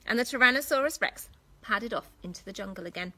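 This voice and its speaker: Female storyteller for young children, bright and higher-pitched, expressive, slight background noise. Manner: animated, deliberate, pausing to ask questions. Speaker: teacher reading a children's story.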